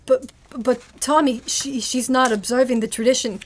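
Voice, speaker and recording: A woman's voice: sits high, fairly even intonation, moderate pace. A woman playing a polite, earnest young secretary, deferential and hesitant, in an old-time radio drama. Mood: uneasy.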